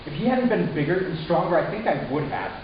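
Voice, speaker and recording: A man playing a troubled, resentful human youth in a dramatic first-person story reading, halting and intense with deliberate pauses. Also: on the high side, lively intonation, natural voice. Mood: bitter, agitated. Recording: distant, very echoey room, muffled, hissy.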